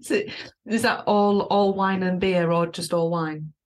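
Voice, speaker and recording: A woman from West Yorkshire with a mixed Yorkshire and Brummie accent, hosting a podcast and putting friendly, quick questions to her guest. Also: fairly low pitch, fairly even intonation. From West Yorkshire, England. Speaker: podcast host. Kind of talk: casual interview chat.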